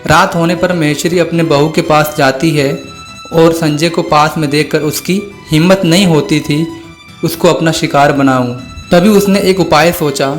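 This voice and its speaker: Higher-pitched male story narrator. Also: steady tone, moderate pace, soft background music.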